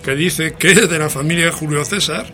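A man's voice: a little high, fairly quick, pushed and bright. A man with an accent from Spain hosting a show in a chatty, jocular, theatrical way, full of exclamations. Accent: Spanish from Spain. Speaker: radio show host. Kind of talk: chatty, playful show presentation. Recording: music playing behind the voice. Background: music.

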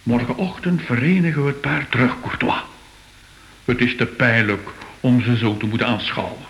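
An actor playing an excitable, superstitious art expert in a radio drama, speaking emotionally and full of wonder. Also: male voice, medium pitch, normal speed, vocal fry. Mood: troubled. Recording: hissy, noisy old radio play recording.

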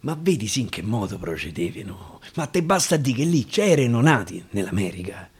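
A man with a Roman accent performing dramatic dialect verse storytelling, with deliberate repetitions and pauses, over guitar. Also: medium pitch, sing-song, slightly rough. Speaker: actor and storyteller. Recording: live guitar behind the voice.

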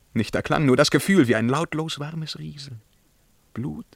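An actor playing a tormented, obsessed hunter in a German radio verse play, theatrical and declamatory, moving between outcry and whisper. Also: male voice, a little high, creaky, sharp tone, no echo, clean studio sound, heavily acted. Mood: anguished, haunted. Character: tormented, obsessed male hunter, feverish and driven.

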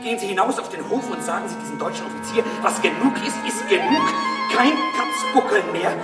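Radio drama: shrill-pitched indignant man, wildly varied intonation, roomy distant sound, music behind. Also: fairly quick. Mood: urgent, commanding. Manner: excitable, insistent, repeating himself. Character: agitated, indignant, bossy man urging a lady to protest. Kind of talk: radio play acting.

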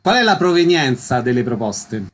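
A man's voice: medium pitch, very animated, moderate pace. A man hosting a radio interview, with concise, formal, scripted questions and introductions.

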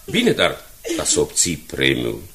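A man playing a troubled middle-aged father and newspaper director in a radio drama, expressive and easily exasperated. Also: a bass voice, creaky, very noisy, exaggerated voice. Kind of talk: Romanian radio drama acting.

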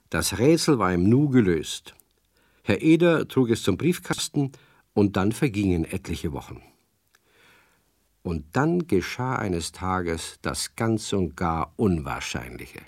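Male narrator, low pitch, lively intonation, measured storytelling, children's radio play. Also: dry recording, studio quality.

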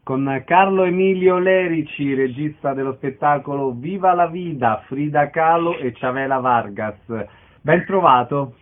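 A man's voice: fairly high pitch, a little slow. A man hosting a radio interview, introducing his guest and putting questions. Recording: dull lo-fi radio sound, noisy.

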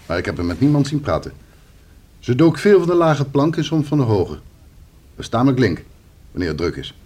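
A talkative male police officer in a radio play. His medium-pitched voice is very animated and swings widely. He reports matter-of-factly, a little on the defensive. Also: moderate pace, slightly rough. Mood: matter-of-fact reporting. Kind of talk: Dutch radio play acting.

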